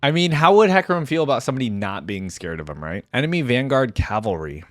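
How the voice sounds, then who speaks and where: A man's voice: mid-range voice, sing-song, fast talker, a bit bright, dry recording, clean studio sound. A man hosting a casual reaction video about game voice lines, chatting in a laid-back, conversational, amused way.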